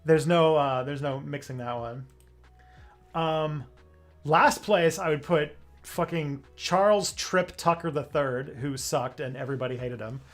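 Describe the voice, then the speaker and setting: A man's voice: a little high, measured pace, soft background music. A man hosting a livestream in casual, joking chat full of fillers. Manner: chatty, filler-heavy, joking. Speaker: livestream host.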